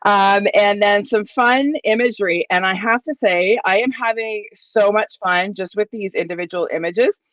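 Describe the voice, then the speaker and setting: A chatty, enthusiastic middle-aged woman walks viewers through a craft project, full of fillers and asides. Her voice is a little croaky from a cold. The sound is dry and a bit dull, as if from a modest home setup. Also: mid-range voice, moderate pace, few pauses, fairly bright tone, no room sound. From Ontario, Canada. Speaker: papercraft demonstrator. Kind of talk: live crafting tutorial.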